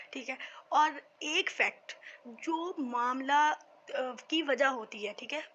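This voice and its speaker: A woman's voice: sits high, bright, forceful tone, highs cut off, a little hiss or hum. A woman with a Pakistani accent giving an informal explanatory lecture as a teacher, conversationally asking questions and answering them herself. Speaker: law teacher.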